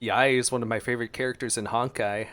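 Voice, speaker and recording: A man's voice: mid-range voice, fairly even intonation, quick pace, a bit bright, slight background noise. A man streaming a game with casual reaction commentary, musing aloud and exclaiming. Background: faint game music.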